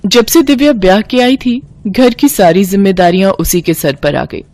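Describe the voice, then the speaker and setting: A woman's voice: mid-range voice, normal speed, darkish tone, no room sound. A woman narrating a scripted moral story as a storyteller.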